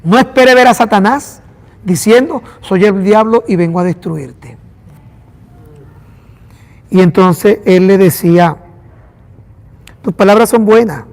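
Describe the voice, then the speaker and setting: A man with a Venezuelan accent and a high voice preaches to his congregation. He acts out little dialogues as he goes. The sound rings with a long reverb from a big hall. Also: a little slow, long pauses. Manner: animated preaching with acted-out dialogue. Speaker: pastor. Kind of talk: sermon.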